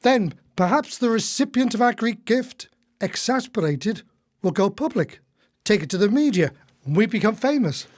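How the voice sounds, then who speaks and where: A man's voice: sits high, lively intonation, moderate pace, a little mellow. A man playing an earnest, cynical retired husband in a radio play, speaking in a declamatory way. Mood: intrigued.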